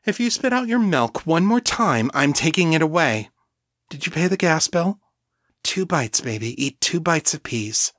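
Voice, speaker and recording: Guy doing a worn out mom nagging and coaxing a toddler, pitched up, audiobook story, dry close sound. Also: expressive, unhurried, fairly bright tone, dry recording. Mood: weary exasperation. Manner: exasperated, coaxing, parental. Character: tired mother at dinner, coaxing and scolding her toddler.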